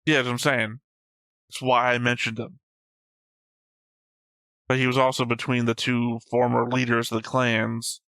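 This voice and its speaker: A man hosts a casual reaction discussion in a bright, forward voice. His pitch stays fairly level and his delivery is matter-of-fact. The sound is dry, with little room on it. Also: monotone, normal speed, long pauses, clean tone, no echo. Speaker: reaction show host. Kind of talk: casual discussion of an animated series.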